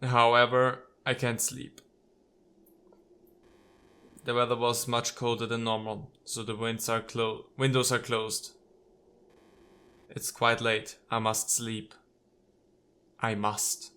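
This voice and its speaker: A male let's player with a bright, forceful voice at an average pitch. He reads visual novel text aloud and drops in playful, wry asides. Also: little pitch movement, takes it slowly, pauses often, clear, resonant tone.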